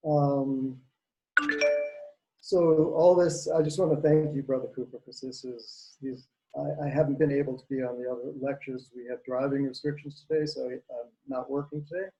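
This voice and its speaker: A man's voice: mid-range voice, steady tone, normal speed, dark tone, highs cut off. A man from Costa Rica speaking up at an online lecture, earnest and groping for words as he asks his question. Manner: earnest, searching for words, drawn out. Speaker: audience member asking a question. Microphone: video call.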